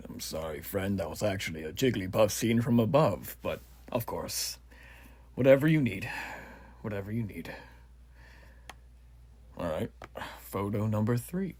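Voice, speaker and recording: A man's voice: on the low side, expressive, a little slow, long pauses, a little hiss or hum. A man playing an earnest, proud revolutionary army officer in a roleplay, speaking to the listener in a formal, rambling, stately way. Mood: measured, instructing. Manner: formal, rambling, theatrically stately, hushed asides. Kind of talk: roleplay voice acting addressed to the listener.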